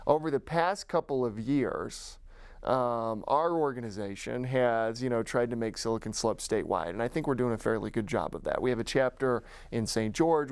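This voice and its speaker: A man's voice: medium pitch, clear, resonant tone, no echo. An American man from Utah answering interview questions as a guest in an informal, enthusiastic, conversational way. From Utah, United States. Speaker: guest, executive director of a tech community nonprofit.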